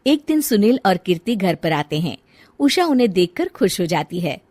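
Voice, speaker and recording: A woman's voice: mid-range voice, very animated, normal speed, a little mellow, no echo. A woman reading scripted narration for a moral story as its narrator.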